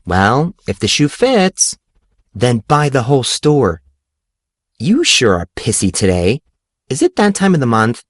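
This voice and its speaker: A man's voice: medium pitch, big pitch swings, measured pace, dry recording, studio quality. A man voicing a teasing, dramatic, boy-crazy teenage girl in an audiobook story. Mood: smug, teasing.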